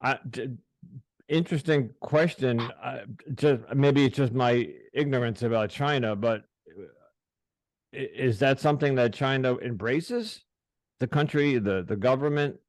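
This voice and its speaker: A middle-aged man hosting a podcast, speaking haltingly with many restarts as he opens up about his past. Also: mid-range voice, darkish tone, no echo. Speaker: podcast host. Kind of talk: casual interview, personal disclosure.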